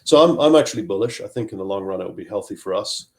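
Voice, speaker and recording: A man's voice: on the low side, animated, racing. A middle-aged man from Zambia, a tech executive, talking on a video call interview and often repeating words as he searches for phrasing. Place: home office.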